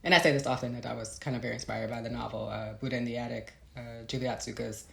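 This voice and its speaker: A woman's voice: rumbling low, steady tone, fast, creaky. A woman giving a reflective, conversational interview as a novelist, thoughtful and hesitant, circling back as she builds her ideas. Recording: roomy, echoey sound.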